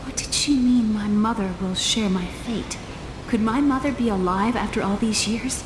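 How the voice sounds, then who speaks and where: A woman's voice: medium pitch, normal speed, background noise, close to an everyday voice. An actress playing an earnest, curious young woman adventurer in a video game, speaking naturally. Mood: worried. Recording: echoey, over game music.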